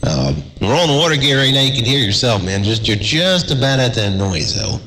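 A man chatting on CB radio from a desert station, laid-back and drawling, with a slightly raspy voice from a cold. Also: mid-range voice, very animated, fairly quick, bright, forceful tone, in a large hall, slight background noise, rough recording. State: has a cold. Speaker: CB radio operator.

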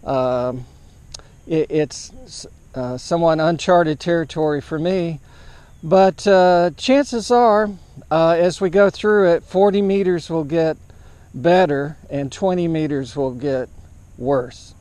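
A man narrating an outdoor setup vlog as an amateur radio operator, in a halting, matter-of-fact way. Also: on the high side, a little mellow, smooth. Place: large open grassy park field, outdoors.

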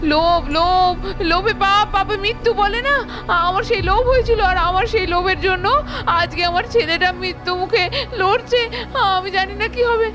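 A woman's voice: extremely high pitch, fairly quick. A woman voicing a remorseful middle-aged mother in a children's doll story, pleading and lamenting. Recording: music playing behind.

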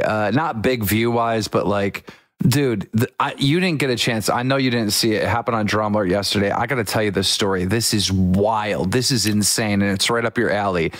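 A young man hosting a casual podcast, an excitable storyteller who acts out what people said in quick bursts. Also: lowish voice, dry recording, clean studio sound. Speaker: podcast host.

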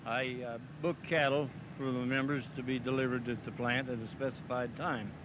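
A middle-aged man talks plainly, halting often with "uh" and tag questions like "see?". His voice sits at a mid pitch. He is recorded outdoors on a handheld mic, with steady noise on old 16mm film sound. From Missouri, US. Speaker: packing plant representative for a farmers group. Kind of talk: filmed outdoor interview. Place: outdoors by a cattle pen. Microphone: handheld mic held by interviewer.

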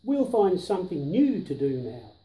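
An older man reading a children's picture book aloud with deliberate, emphatic delivery of the rhymes, recorded in a living room. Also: high-pitched, very animated, dark tone, roomy sound, low-quality recording. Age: 60s or 70s.

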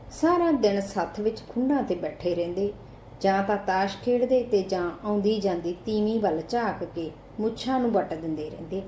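A woman's voice: mid-range voice, mellow. A woman with an Indian Punjabi accent narrating a short story from a script, as in an audiobook. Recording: roomy echo, faint background noise.

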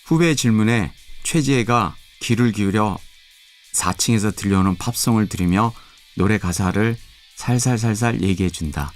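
A man narrating an audio drama in a measured, even storytelling manner. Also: lowish voice, soft background music.

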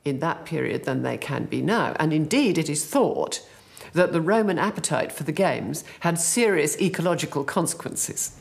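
A woman's voice: low-pitched, animated, normal speed, vocal fry. A woman explaining as an expert in a documentary interview.

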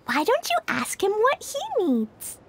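A woman's voice: huge pitch swings, a little slow, a little hiss or hum, heavily acted. A woman voicing an innocent, cheerful little girl in a video game, speaking simply and earnestly in a childlike voice. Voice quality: childlike, high voice. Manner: simple, earnest, refers to herself by name.

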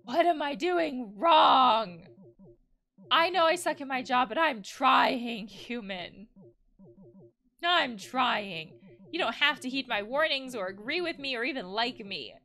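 A woman's voice: high voice, animated, takes it slowly, pushed and bright, exaggerated voice. A woman voicing an anxious, nagging guard wolf from a game, in a melodramatic, pleading manner. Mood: desperate, pleading. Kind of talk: game dialogue read aloud.

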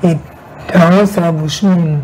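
An older Ghanaian woman telling her story slowly, with halting, repeated phrases. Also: lowish voice, fairly even intonation, warm tone, slight background noise, rough recording. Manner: slow, halting, repeats herself. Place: indoor studio set.

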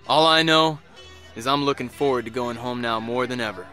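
A man's voice: mid-range voice, brisk, pushed and bright, close to an everyday voice. A man playing a weary soldier, a family man, narrating his war in a game cutscene. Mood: wistful. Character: weary American male soldier, husband and father, recounting combat. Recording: music laid behind the voice.

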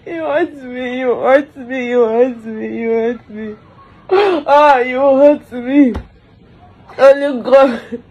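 A woman's voice: high voice, slow, husky, highs cut off. A distraught woman, crying and wailing through an emotional lament, repeating phrases over and over.

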